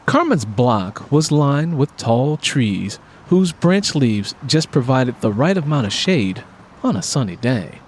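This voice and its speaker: A man's voice: mid-range voice, sing-song, slow, a little hiss or hum. A man reading scripted fiction as an audiobook narrator.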